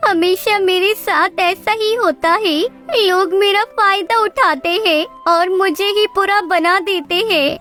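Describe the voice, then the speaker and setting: A woman's voice: very high-pitched, takes it slowly, few pauses, bright, forceful tone, soft background music, heavily acted. A woman voicing a kind, trusting little female bird in a children's cartoon story, sounding sweet, earnest and childlike. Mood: sad, complaining.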